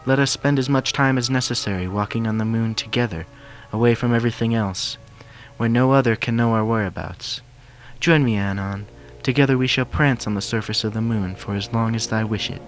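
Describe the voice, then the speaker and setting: A gentle royal mare speaks in formal, old-fashioned courtly phrases, voiced by a man. She is soft-spoken and affectionate, a storybook princess with music playing behind her. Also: medium pitch, a little fast, natural voice, rough recording. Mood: loving, inviting.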